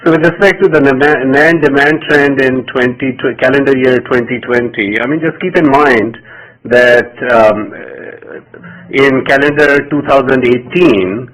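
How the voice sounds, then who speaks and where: Halting male executive on an earnings call. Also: medium pitch, a bit bright, low-quality recording. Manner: halting, hedging, often rephrases mid-sentence. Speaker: company executive. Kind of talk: answering analyst questions on an earnings call.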